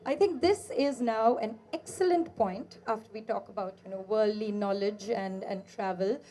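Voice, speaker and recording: A woman interviewer with a mid-pitched, mellow voice, asking about a book in a chatty, loose way. She restarts her sentences and fills pauses with "um" and "uh". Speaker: interviewer, host.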